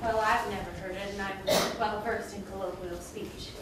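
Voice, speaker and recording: A woman's voice: mid-range voice, fairly even intonation, normal speed, close to an everyday voice. A woman playing an imperious, condescending goddess queen of the underworld in a theatrical drama, speaking formally. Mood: coolly condescending. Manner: formal, haughty, commanding. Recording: distant, very echoey, noisy room recording.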